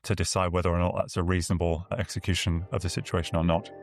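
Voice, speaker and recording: A man speaking as an expert podcast guest, explaining things in a measured, articulate way. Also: sits low, little pitch movement. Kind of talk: explanatory interview talk.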